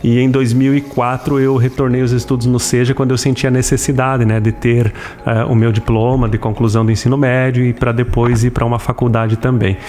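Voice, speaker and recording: A man in his thirties with a Brazilian accent explaining things as an interview guest, haltingly, with frequent tag questions. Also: mid-range voice, flat delivery, a little mellow. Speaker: interview guest, school administrator.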